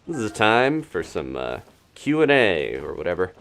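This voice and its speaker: A man's voice: low voice, huge pitch swings, normal speed, a bit bright. A man doing live gameplay commentary as a streamer, chatting casually and thinking aloud in a rambling way. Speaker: video game streamer.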